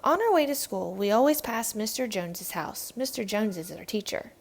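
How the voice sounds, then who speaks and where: A woman reading a children's picture book aloud in an expressive storytelling style. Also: medium pitch, normal speed, creaky. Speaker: read-aloud narrator.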